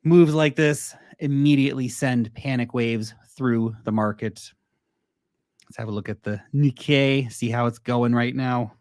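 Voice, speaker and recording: A man's voice: medium pitch, fairly even intonation, measured pace, a little mellow, no echo, clean studio sound. A man hosting a casual livestream on crypto and stock markets, rambling through asides and answering his chat as he goes. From United States.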